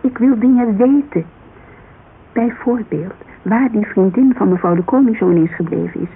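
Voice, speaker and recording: Radio drama role: headstrong, insistent woman played by an actress, exasperated and plain-spoken, creaky edge, slight hiss. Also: mid-range voice, normal speed, close to an everyday voice. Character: headstrong, blunt businesswoman client pressing a detective. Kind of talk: Dutch radio drama acting, conversational. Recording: narrow, noisy, creaky-sounding old radio broadcast.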